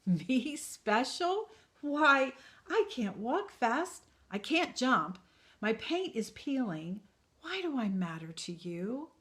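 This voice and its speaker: A woman's voice: sing-song, slow, fairly bright tone, heavily acted. A woman voicing a small, anxious wooden boy puppet in a children's storybook. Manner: anxious, pleading, high.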